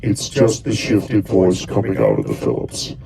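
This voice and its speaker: A man with a low, gravelly voice walks through settings in a casual, step-by-step tutorial. He thinks aloud as he presses buttons and often restarts his phrases. Crowd chatter murmurs in the background. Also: big pitch swings, unhurried. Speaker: costuming hobbyist giving a tutorial.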